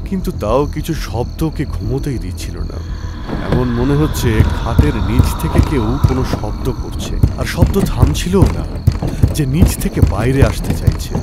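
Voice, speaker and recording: A man narrating a scripted horror story in a dramatic storytelling style. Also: mid-range voice. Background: music.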